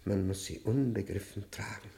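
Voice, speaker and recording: A man's voice: fairly low pitch, steady tone, vocal fry, dark tone. Tormented, guilt-ridden man confessing. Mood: despairing. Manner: halting, brooding, emphatic, repeats words. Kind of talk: theatrical German radio play monologue.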